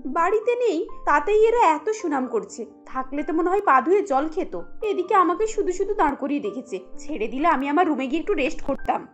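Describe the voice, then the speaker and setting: A woman acts out an overworked young daughter-in-law in a cartoon. Her voice is high and animated, with a resentful, disbelieving grumble. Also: brisk, soft background music. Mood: irritated, incredulous. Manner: grumbling, incredulous.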